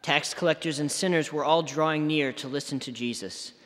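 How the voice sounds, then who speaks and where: A man's voice: on the high side, brisk, fairly bright tone. A middle-aged man reading scripture solemnly as a deacon at a church lectern. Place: large stone church, at a lectern. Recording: reverberant church hall.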